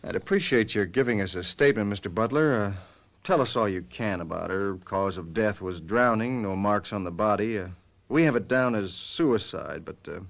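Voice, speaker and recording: An actor in a radio drama playing a businesslike, tactful police lieutenant with a measured, hesitant official manner. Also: male voice, on the low side, animated, gravelly. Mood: measured, probing. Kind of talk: golden-age style radio drama acting.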